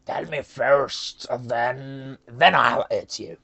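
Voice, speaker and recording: A woman's voice: a bass voice, very slow, creaky, fairly bright tone, voice pushed down, full character voice. A woman voicing a hungry, threatening wolf in a folktale. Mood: hungry, demanding.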